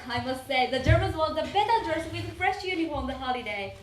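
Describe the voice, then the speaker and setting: A woman gives a dramatic readers theater performance, speaking her lines as recitation. Her voice is high and is heard with plenty of room echo. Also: lively intonation, brisk, a little hiss or hum. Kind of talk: dramatic recitation, acting out dialogue. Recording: roomy echo.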